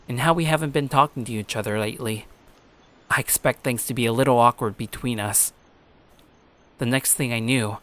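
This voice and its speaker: A man's voice: mid-range voice, a bit bright. A man narrating as host, reading a scripted first-person story and promotional copy.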